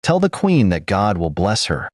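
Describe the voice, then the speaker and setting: A man's voice: fairly low pitch, wildly swooping pitch, moderate pace, dry recording, clean studio sound. A man voicing a poor orphan young woman in a read folk tale, fervently praying blessings.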